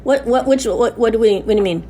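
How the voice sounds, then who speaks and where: A woman pressing a complaint over the phone to the police, loud and insistent, talking over interruptions. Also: on the high side, fast.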